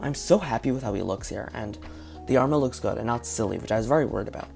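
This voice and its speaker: A man with a hoarse, partly lost voice, talking through his guesses in a casual video commentary. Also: mid-range voice, fast, a little mellow, soft background music. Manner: speculative, thinking aloud. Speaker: video reviewer.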